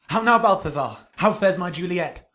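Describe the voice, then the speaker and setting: A man's voice: high-pitched, expressive, moderate pace, fairly bright tone. An actor playing a passionate, desperate young nobleman, declaiming intensely in a Shakespearean radio drama. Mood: despairing.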